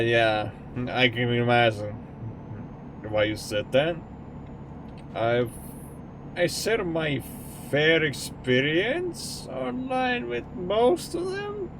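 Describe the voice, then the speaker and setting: Estonian-accented man streaming over truck noise. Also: mid-range voice. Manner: rambling and jokey, answering chat. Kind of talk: casual chat with live chat while gaming.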